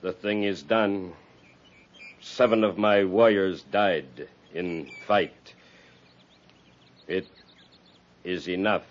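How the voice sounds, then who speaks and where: Deep, slow, proud war chief. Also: male voice. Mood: grave, relenting. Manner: halting, clipped broken English in short phrases. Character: proud, vengeful Cheyenne war chief, grave and unyielding. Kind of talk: 1950s radio drama acting. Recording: dull 1950s radio recording.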